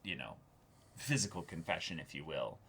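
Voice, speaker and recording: A man's voice: extremely low pitch, animated, normal speed, creaky, a bit bright, slight background noise. A man co-hosting a reaction show, talking earnestly and effusively in casual discussion, often repeating words as he searches for the next one.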